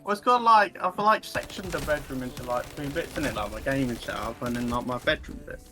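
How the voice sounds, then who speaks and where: A young man talking easily over a voice call. His delivery is relaxed and rambling, with plenty of "like". Game music plays clearly in the background. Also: mid-range voice, fairly quick. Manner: laid-back, rambling, fills with "like". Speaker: friend on voice chat. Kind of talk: casual chat.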